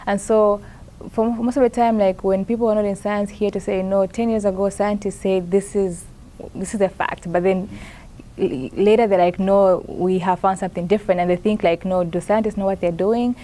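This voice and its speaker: A young woman from Zambia speaks in a warm, mellow voice. She answers interview questions softly and haltingly, restarting phrases and filling pauses as she thinks aloud. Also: medium pitch, steady tone. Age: young adult. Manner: hesitant, many fillers and restarts, soft-spoken. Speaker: master's student in plant science. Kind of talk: conference interview, casual answers.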